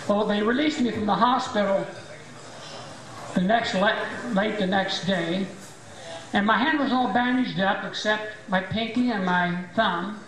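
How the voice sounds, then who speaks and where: A man in his seventies doing stand-up comedy on a small tavern stage with a handheld mic. He has a squeaky, high voice after voice surgery and tells dry, self-mocking jokes. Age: 70s. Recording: echoey bar room with crowd.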